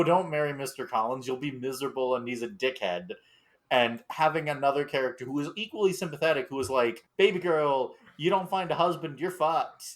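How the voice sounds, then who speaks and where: A man on a podcast panel, casually discussing film in a wry, halting way. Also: medium pitch.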